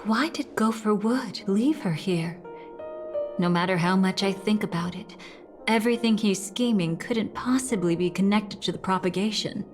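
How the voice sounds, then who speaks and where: An actress playing a composed, calculating woman in a video game, speaking in a measured, watchful way. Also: medium pitch, faint music behind, lightly acted. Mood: grave, pondering. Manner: measured, deliberate. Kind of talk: scripted video game voice acting.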